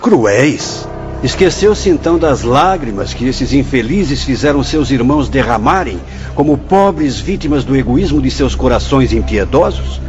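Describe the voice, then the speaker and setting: A man's voice: medium pitch, lively intonation, moderate pace, natural voice. An actor in a Brazilian radio drama playing a grave, fatherly but stern spirit director, speaking formally with a Brazilian accent. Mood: indignant. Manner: solemn, declamatory, formal. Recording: dull lo-fi audio with music behind.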